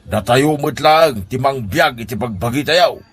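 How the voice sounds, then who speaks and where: A man's voice: mid-range voice, lively intonation, a little slow, slight background noise, lightly acted. A man playing a nagging, concerned older uncle in a comedy radio drama. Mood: scolding. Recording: music under the voice. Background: background music.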